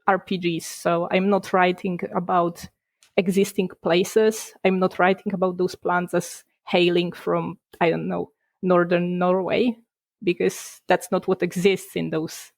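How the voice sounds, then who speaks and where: A young woman from Poland, a game designer, talking casually in a podcast interview, chatty and quick to laugh. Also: lowish voice, level tone, vocal fry, no echo. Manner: chatty, laughs between points, restarts words.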